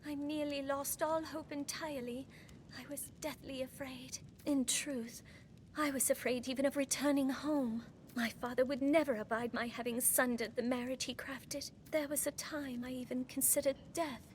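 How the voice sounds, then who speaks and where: Woman acting a high-voiced, devoted young noblewoman. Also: steady tone, moderate pace, slight background noise, natural voice. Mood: fervent relief. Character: tender young noblewoman, grateful rescued damsel. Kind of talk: dramatic fantasy game acting.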